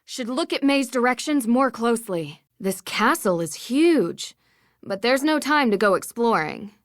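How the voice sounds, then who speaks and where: A woman's voice: on the high side, animated, unhurried, dry recording, clean studio sound. An American woman acting as a polite, determined young amateur detective in a video game, speaking earnestly. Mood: focused, self-reassuring.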